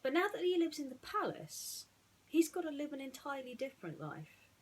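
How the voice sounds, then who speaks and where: A woman speaking in an earnest, slightly halting way, as a storyteller chatting and reading to children. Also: high-pitched, very animated. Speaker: storyteller reading bedtime stories.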